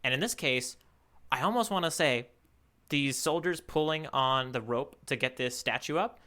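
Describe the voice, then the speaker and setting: A man's voice: medium pitch, moderate pace, bright, forceful tone. A man co-hosting a podcast, chatting casually and rambling as he thinks aloud and hedges his points. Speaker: podcast co-host. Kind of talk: casual chat, manga readalong commentary.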